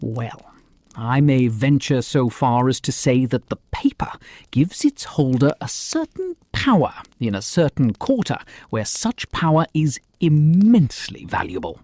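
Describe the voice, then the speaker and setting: Woman playing a low, dark, guarded character. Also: big pitch swings, measured pace, full character voice. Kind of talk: audio drama acting.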